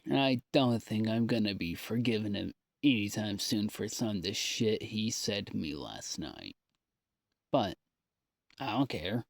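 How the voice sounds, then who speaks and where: A man recording a rambling, confessional audio diary in a halting, downbeat, informal way with long pauses. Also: on the low side, lively intonation, vocal fry, dry recording. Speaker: audio diarist.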